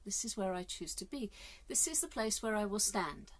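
A woman's voice: mid-range voice, lively intonation, normal speed. A woman in her fifties from England, musing earnestly in a casual vlog, filmed on a phone held at arm's length inside a caravan. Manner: earnest, musing, with hesitant fillers. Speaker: vlogger. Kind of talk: casual reflective monologue. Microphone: phone camera at arm's length.

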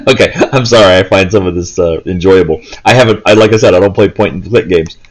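Low-voiced man, halting casual game commentary. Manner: hesitant, halting, thinking aloud. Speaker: video game player and commentator. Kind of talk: casual gameplay commentary, chatty walkthrough. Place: indoors, seated, filming handheld gaming screen.

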